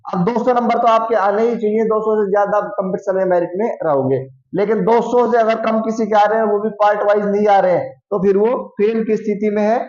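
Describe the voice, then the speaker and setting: A man with a high voice gives an explanatory lecture to students. He repeats key figures for emphasis and poses questions that he answers himself. The room echoes and the sound is a little dull. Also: steady tone, a little fast, hardly any pauses, darkish tone. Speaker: exam coaching teacher and channel host.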